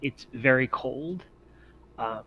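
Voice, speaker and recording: A man improvising narration as game master of a tabletop roleplaying game, often halting as he thinks aloud. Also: mid-range voice, highs cut off, slight background noise.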